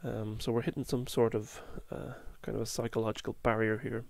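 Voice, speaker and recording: Gravelly man losing his voice. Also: on the low side, little pitch movement, darkish tone, no echo. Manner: halting, fillers, self-correcting. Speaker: market analyst. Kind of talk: chart walkthrough.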